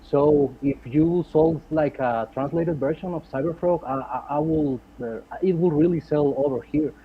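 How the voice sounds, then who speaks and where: A man with a Mexican Spanish accent calls in over a dull, lo-fi line, his voice on the higher side. He speaks earnest English, stumbling and repeating words as he goes. A light hiss runs under it. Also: moderate pace. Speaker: caller. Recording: dull lo-fi call audio with faint hiss.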